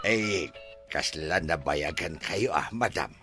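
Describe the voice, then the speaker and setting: A man with an Ilocano accent playing a deferential, excitable resort workman in a radio drama, stammering and full of exclamations. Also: sits low, slow pace, faint music behind, heavily acted.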